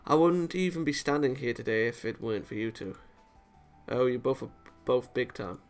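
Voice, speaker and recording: A man's voice: medium pitch, moderate pace, faint music behind. A man reading a visual novel aloud dramatically with off-the-cuff reactions, sometimes tripping over words and rereading them.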